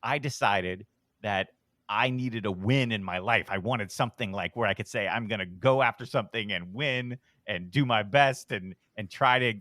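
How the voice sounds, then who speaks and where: A middle-aged man with a deep voice, telling a long rambling story on a podcast in a relaxed, self-deprecating way. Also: normal speed, dry recording. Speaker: podcast host, guest. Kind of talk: casual storytelling.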